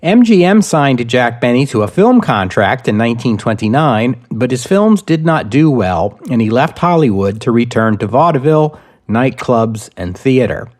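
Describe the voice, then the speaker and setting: A man hosting a history podcast, reading scripted narration in a measured, deliberate way with wry asides. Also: medium pitch, lively intonation, a little mellow. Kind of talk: scripted narration, storytelling lecture.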